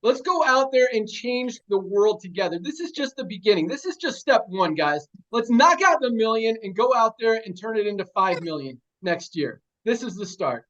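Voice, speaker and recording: A man's voice: sits high, sing-song, normal speed, few pauses, fairly bright tone. A man hosting a team video call, rousing everyone with short rallying lines. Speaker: host of a sales team call. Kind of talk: energetic motivational talk. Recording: dull lo-fi call audio.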